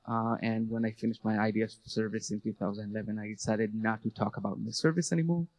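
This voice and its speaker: This is a man in his thirties with an Israeli Hebrew accent and a lowish voice. He speaks to an audience about his own life, thoughtfully and haltingly, with slight nerves. The sound rings with the long reverb of a hall. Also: steady tone, a little mellow. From Jerusalem, Israel. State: a bit nervous. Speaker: speaker at a public talk, activist educator. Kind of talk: personal testimony to an audience.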